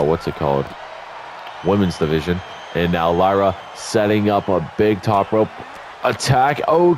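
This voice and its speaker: A man's voice: fairly low pitch, background noise. Congested, tired-sounding man commentating a game. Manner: chatty, rambling asides, self-corrections. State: congested and tired, just woke up. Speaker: YouTube gaming commentator. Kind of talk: casual play-by-play commentary over a wrestling video game. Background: faint game music.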